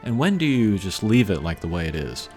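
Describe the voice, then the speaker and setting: A man giving casual, unscripted gameplay commentary as a channel host, thinking aloud in a measured way. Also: low voice, big pitch swings, darkish tone. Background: game music.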